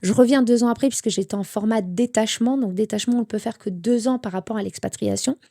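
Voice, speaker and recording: Mellow woman telling her story. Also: a little high, fast talker, gravelly. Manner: animated storytelling with quoted dialogue. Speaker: interview guest. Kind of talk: candid personal story.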